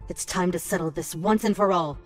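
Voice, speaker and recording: A woman's voice: on the low side, a little fast, faint music behind, natural voice. A woman playing a proud, fierce young noblewoman warrior in a medieval fantasy game, firm and commanding. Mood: bitter, resolute.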